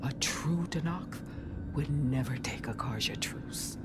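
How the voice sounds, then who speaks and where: A slow, menacing rebel warrior leader. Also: female voice, rumbling low, whispered. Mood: scornful. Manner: menacing, deliberate, bitter storytelling. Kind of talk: dramatic video game acting. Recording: loud cinematic music behind. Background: game soundtrack music.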